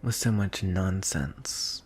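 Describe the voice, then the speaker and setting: A man voicing a lonely, courtly dragon in a fantasy ASMR roleplay, speaking softly and breathily in measured, formal phrases. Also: low voice, slight background noise. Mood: wistful, longing. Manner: soft, hushed, breathy delivery with deliberate pauses. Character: lonely, courtly male dragon wooing a prince.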